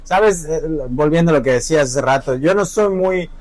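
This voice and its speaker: A man's voice: on the high side, animated, moderate pace, a little mellow, no room sound. A Mexican man with a Mexican accent who hosts a podcast, chatting casually and agreeably. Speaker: podcast host. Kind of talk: casual conversation.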